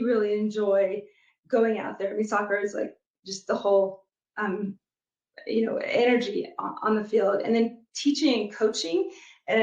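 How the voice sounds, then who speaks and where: Woman with a muffled, roomy echo. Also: medium pitch. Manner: thoughtful, many fillers, measured. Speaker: guest, product manager and youth soccer coach. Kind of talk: casual interview conversation. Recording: muffled echoey remote recording.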